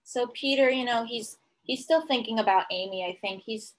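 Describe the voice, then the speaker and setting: A woman teacher reading a picture book aloud to young children, pausing to explain things simply and ask questions. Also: on the high side. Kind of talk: children's read-aloud with commentary.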